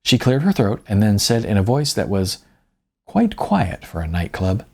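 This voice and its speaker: Husky man narrating with lively, wry delivery. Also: on the low side, normal speed. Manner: wry, conversational. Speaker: author reading own novel as audiobook. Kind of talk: first-person audiobook narration with acted dialogue.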